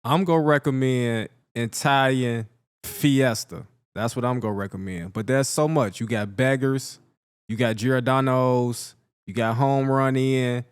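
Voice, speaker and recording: Guy hosting an interview, chill and encouraging, smooth voice. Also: mid-range voice, steady tone, measured pace, dry recording, clean studio sound. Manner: encouraging, laid-back, repeats "you know". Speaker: interviewer host. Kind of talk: casual interview questions.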